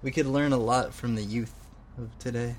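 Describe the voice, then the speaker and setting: A man's voice: medium pitch, steady tone, moderate pace, slight background noise. A man co-hosting a homemade radio show, chatting casually in a joking, rambling and self-deprecating way. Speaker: co-host. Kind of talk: casual chat.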